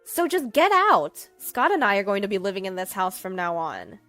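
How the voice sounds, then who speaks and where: A woman's voice: very animated, normal speed, a bit bright, soft background music. A woman voicing a mean, snide younger sister who mocks and bosses her sibling. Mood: mocking. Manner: mocking and bossy, shrill when upset.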